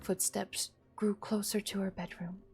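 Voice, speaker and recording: A woman's voice: mid-range voice, flat delivery, measured pace, darkish tone, a little hiss or hum. A woman streamer reading a horror visual novel aloud as a dramatic narrator.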